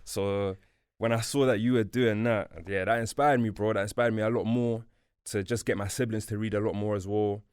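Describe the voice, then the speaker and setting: Man, young adult, from Portugal, Portuguese native speaker, low voice, relaxed slang, dry close sound. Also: brisk, clean tone, no echo. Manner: laid-back street slang, fillers like "you feel me", "bro". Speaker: guest. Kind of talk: casual interview chat. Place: podcast studio.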